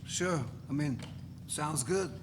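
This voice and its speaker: A man's voice: fairly high pitch, slow. A man in a live dramatic reading playing a laconic radical tough guy, offhand, dry and defiant. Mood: dry, dismissive. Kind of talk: dramatic reading of a novel before an audience. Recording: reverberant hall, noisy live recording.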